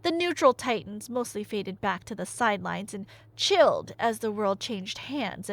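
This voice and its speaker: A woman telling a story in a casual, rambling, conversational way, with wry asides. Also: high voice. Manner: animated, conversational, wry asides and rhetorical questions. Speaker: audio roleplay storyteller, playing a nerdy girlfriend.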